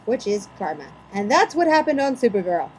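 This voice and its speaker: A woman's voice: mid-range voice, very animated, a little fast, low-quality recording. Theatrical woman podcast host. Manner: theatrical, mock-dramatic reading. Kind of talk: podcast segment hosting and mock-dramatic recaps.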